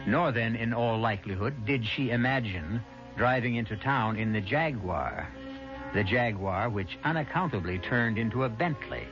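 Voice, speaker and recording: A man's voice: medium pitch, animated, normal speed, lightly acted. A man narrating a 1970s radio mystery drama as its urbane host, formal and wry in delivery. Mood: intriguing. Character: urbane, wry male storyteller of mystery tales. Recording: dull vintage radio recording with music.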